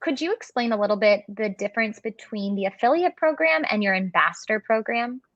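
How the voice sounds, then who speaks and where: A woman's voice: on the high side, lively intonation, a little fast, fairly bright tone, dry recording, muffled recording. A woman hosting a webinar interview, upbeat and affirming as she asks questions over a video call. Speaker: webinar host.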